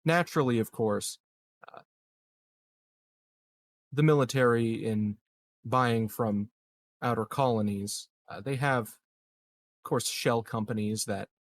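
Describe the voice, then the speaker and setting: A man acts out a smooth, scheming colonial official in a science fiction roleplaying game. His voice sits at a middle pitch. He speaks with hesitant, carefully hedged diplomacy and a guarded manner. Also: no room sound. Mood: guarded, persuasive. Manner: hesitant, hedging, measured politician's talk. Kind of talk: improvised tabletop roleplay.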